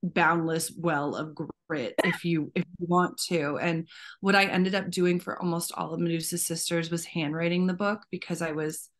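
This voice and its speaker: A woman's voice: on the low side, fairly even intonation, moderate pace. A woman in her thirties, an author on a panel, talking earnestly and with energy over a video call from home. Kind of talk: casual panel talk. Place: home room.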